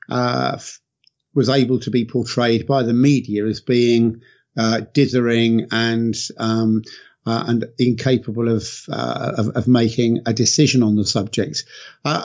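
A man with a croaky voice from a cold, speaking as a guest commentator, hesitant and full of ums and uhs. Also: medium pitch, a little mellow. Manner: hesitant, many fillers. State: hoarse from a cold. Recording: dull lo-fi line.

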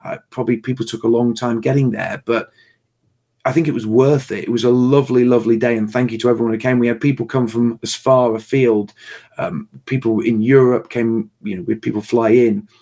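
A man with a slightly hoarse, tired voice chatting casually and haltingly as the host of a livestream. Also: medium pitch, flat delivery, dark tone. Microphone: livestream call microphone.

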